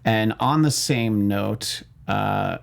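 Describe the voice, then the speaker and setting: A man with a creaky, gravelly edge to his voice, chatting casually as a panelist on a movie talk show. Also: fairly low pitch, extremely slow.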